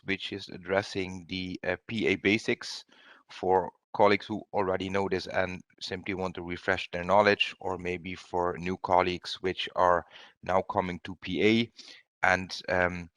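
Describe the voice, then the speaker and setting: A man hosting a webinar, introducing it informally in a halting delivery. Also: fairly low pitch, fairly bright tone, no echo. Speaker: webinar host.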